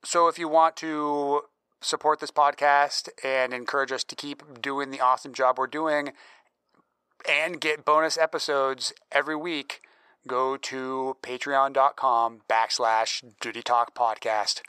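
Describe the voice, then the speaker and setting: A man hosting a podcast and reading an upbeat plug for listeners. His voice is bright and crisp, recorded dry and close with no room sound. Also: medium pitch, level tone, measured pace, dry recording. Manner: upbeat, promotional. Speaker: podcast host. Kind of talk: scripted promo read.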